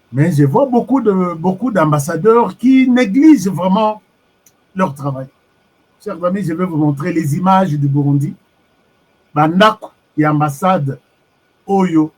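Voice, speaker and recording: A Congolese man with a husky voice gives an animated political commentary, switching between French and Lingala and reacting to viewer comments. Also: sits high, a little slow, long pauses, low-quality recording. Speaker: online commentator. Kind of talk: live-streamed political commentary.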